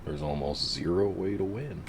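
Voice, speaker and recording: Very deep, gravelly gamer guy. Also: moderate pace, noisy background. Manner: laid-back, swearing, rambling. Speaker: gamer narrating his play. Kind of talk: casual gameplay commentary.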